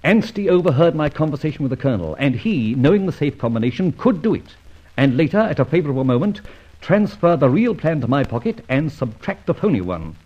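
An actor playing a disgraced army officer turned adventurer in an old radio drama, with theatrical, old-fashioned gentlemanly phrasing and a husky voice. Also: male voice, on the high side, big pitch swings, a little fast. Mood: brooding, resolute. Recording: dull, lo-fi old radio recording.

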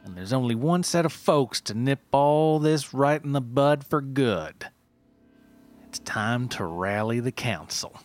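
A man's voice: mid-range voice, lively intonation, a little slow, music in the background, natural voice. A man voicing an anxious, folksy Appalachian mountain man in a mock documentary. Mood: anxious, resolute. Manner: frantic, folksy.

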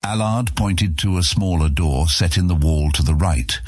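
A man reads scripted fantasy fiction as an audiobook narrator. His voice is deep and husky. The sound is very dry, with no room to it. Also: normal speed, no room sound.

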